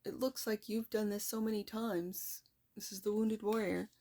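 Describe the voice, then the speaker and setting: Soft-spoken woman giving a chatty tarot reading. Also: medium pitch, steady tone, moderate pace. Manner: chatty, reflective, addresses the viewer directly. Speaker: tarot reader. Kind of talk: casual tarot reading to viewers.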